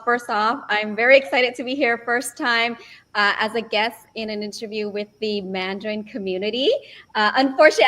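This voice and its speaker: A woman's voice: on the high side, a bit bright. A woman in her forties, a business coach and guest, telling her story in an upbeat, chatty way over a video call.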